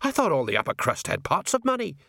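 Guy doing a high fussy gossipy sergeant, kinda slow. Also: huge pitch swings, gravelly, pushed and bright, dry recording, studio quality, heavily acted. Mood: fussing. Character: plodding middle-aged male sergeant, slow and fussing.

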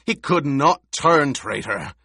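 A man's voice: medium pitch, animated, slow, creaky, pushed and bright, husky, no echo, clean studio sound, voice pushed up, exaggerated voice. A man reading an audiobook, voicing a furious, loyal warrior who snarls threats while holding a hostage. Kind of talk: audiobook character voice.

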